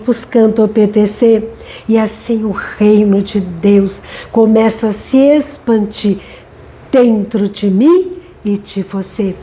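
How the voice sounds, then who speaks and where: A middle-aged woman from Brazil giving a gentle devotional talk, unhurried and often adding tag questions. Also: a little high. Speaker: devotional speaker.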